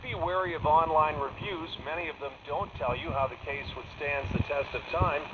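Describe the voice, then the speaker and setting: A man's voice: fairly high pitch, normal speed. A man working as a consumer reporter, reading a scripted news report. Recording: noisy, dull shortwave radio reception.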